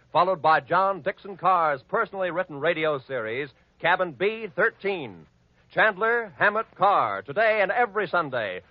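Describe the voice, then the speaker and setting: A man announcing credits and promotions on an old-time radio broadcast, in a crisp, promotional manner. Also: on the high side, dry recording, highs cut off.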